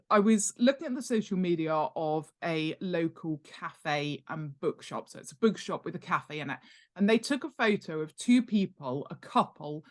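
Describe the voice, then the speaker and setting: A middle-aged British woman talking conversationally as an expert podcast guest, stressing points emphatically by repeating words. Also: medium pitch. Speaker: guest, author and world-building expert. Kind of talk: conversational explanation in a podcast interview.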